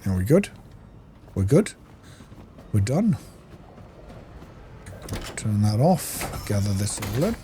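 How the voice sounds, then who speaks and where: A man's voice: fairly low pitch, sing-song, slow pace, pauses often, warm tone, slight background noise. A man giving casual gameplay commentary as a let's-play host, muttering to himself as he plays.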